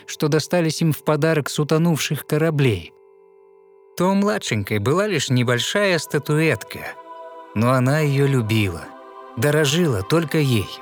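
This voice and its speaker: A man narrating a fairy tale in verse as scripted audio storytelling, with measured, expressive recitation. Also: a little high. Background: soft music.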